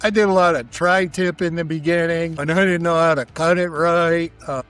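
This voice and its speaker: Plain-spoken man with a higher-pitched voice. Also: steady tone, normal speed, a bit bright, soft background music. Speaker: interview guest, barbecue cook. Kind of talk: casual conversational explanation.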